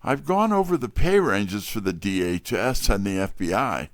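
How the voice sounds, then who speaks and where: A man playing a wry, smooth-talking private detective who narrates an audio drama. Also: lowish voice, expressive, moderate pace, no room sound, close to an everyday voice. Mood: brisk, businesslike.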